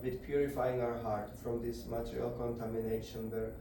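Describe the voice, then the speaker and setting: A man giving a devotional scripture lecture to a group indoors, halting and searching for words as he explains. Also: mid-range voice, flat delivery, background noise. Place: indoor gathering room. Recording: very echoey room, voice far off.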